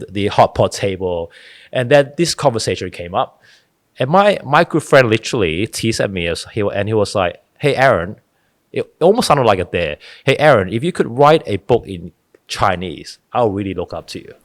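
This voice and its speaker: A man's voice: on the low side, lively intonation, a little fast, no echo, clean studio sound. A middle-aged man, a podcast guest telling stories earnestly, often restarting his sentences. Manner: earnest, storytelling, frequent restarts. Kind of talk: casual interview talk.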